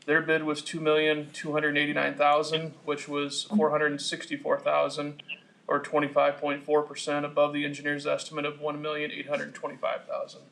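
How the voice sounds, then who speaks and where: A man presents a formal meeting report in a slightly high, gravelly voice. His intonation is extremely flat, and his delivery is measured, halting and businesslike. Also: poor audio. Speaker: project engineer presenting to a commission.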